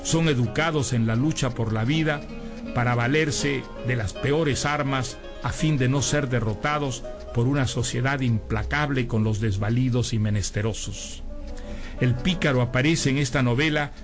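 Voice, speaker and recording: A man presenting a scripted literary introduction for radio in a measured, erudite manner. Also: mid-range voice, music in the background.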